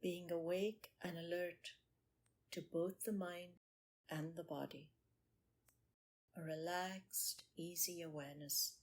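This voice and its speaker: A woman leads a guided meditation at a very slow pace. Her voice sits a little low and has a husky, breathy grain. She gives each instruction in short, measured phrases, leaving space after them. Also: steady tone. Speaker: meditation teacher.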